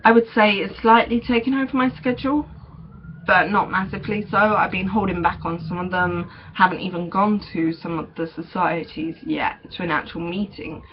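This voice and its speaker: A man's voice: high-pitched, steady tone, moderate pace. A young man, chatting casually in a vlog update, hesitant with many ums and small laughs. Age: young adult. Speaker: vlogger. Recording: dull lo-fi recording with faint noise.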